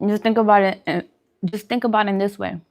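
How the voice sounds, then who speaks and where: A woman's voice: mid-range voice, steady tone, fast. A woman with a mid-range voice talks casually to her viewers, as in a food vlog. She explains things in an informal, conversational way and stresses her points by repeating them. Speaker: food and wellness vlogger. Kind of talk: casual mukbang chat giving diet advice.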